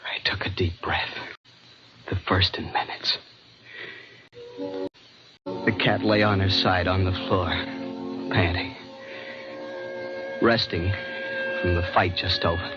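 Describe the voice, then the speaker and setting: A shaken male survivor recounts his ordeal in a low, dramatic voice. His delivery is deliberate, in the manner of a 1940s radio play. The sound is dull and aged, with loud music underneath. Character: shaken ship's passenger narrating a deadly snake fight.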